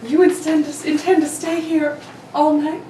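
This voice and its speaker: A woman's voice: high-pitched, level tone, normal speed, warm tone, lightly acted. A woman playing a frightened, proper older aunt in an old-time radio play, with a melodramatic, pleading manner. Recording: roomy, distant mics with faint noise.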